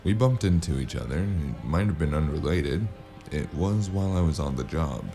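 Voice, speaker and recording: Male actor as hard-boiled noir detective, extremely low register, dark tone, dry and laconic, wary, audio drama. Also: animated, moderate pace, soft background music. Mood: guarded. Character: gruff, wary noir investigator narrating his own case.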